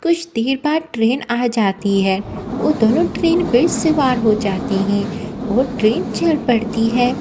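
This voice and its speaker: A woman narrates a scripted moral story as its storyteller. Her voice is very high in pitch. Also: animated, unhurried, very noisy.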